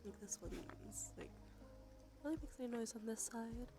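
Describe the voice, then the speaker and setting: A woman speaking softly and unhurriedly in a chatty ASMR livestream style, often slipping into a breathy whisper, close to the microphone. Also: fairly high pitch, normal speed. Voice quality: breathy whispery delivery with creaky fry. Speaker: streamer. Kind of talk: soft-spoken ASMR livestream, drifting into whispers. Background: music.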